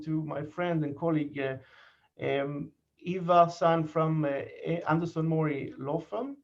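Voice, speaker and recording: A man giving a webinar presentation over a video call, speaking with fillers and restarts. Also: on the high side. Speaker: webinar opener. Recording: dull lo-fi audio.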